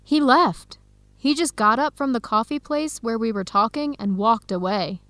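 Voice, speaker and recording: An actress playing an earnest young researcher who confides carefully and clearly in an English-learning radio drama. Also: female voice, on the high side, normal speed, fairly bright tone, no echo, natural voice. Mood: troubled, confiding.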